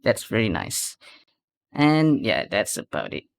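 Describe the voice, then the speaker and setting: A man's voice: on the low side, huge pitch swings, measured pace, gravelly. A man giving casual reaction commentary on game trailers, rambling and repeating words for emphasis. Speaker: reaction video host. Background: music from the trailer.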